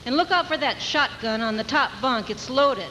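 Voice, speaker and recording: A woman playing a tough, brash long-haul trucker with gruff, folksy, bossy talk in a comic radio drama. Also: high-pitched, animated, moderate pace, sharp tone, slight background noise, poor audio. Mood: folksy, matter-of-fact. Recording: music behind, 1980s live radio broadcast.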